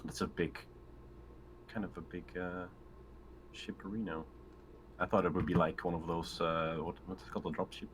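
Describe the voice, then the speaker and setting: A man with a deep voice plays a tabletop game over an online call. He talks casually with the other players, with faint noise behind him. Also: level tone, moderate pace, long pauses. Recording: faintly noisy online voice chat.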